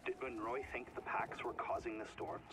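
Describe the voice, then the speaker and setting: An actor playing a thoughtful, curious scholar companion in a video game, polite and inquisitive. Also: male voice, mid-range voice, little pitch movement, moderate pace, heavy background noise, natural voice. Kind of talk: video game dialogue, voice acting. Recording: distant voice under loud gunfire effects.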